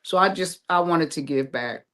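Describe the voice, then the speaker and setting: A woman's voice: low-pitched, fairly bright tone. Rambling Ohio woman guest. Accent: American English, Ohio. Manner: rambling, halting, many fillers. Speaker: guest, playwright. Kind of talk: casual interview talk.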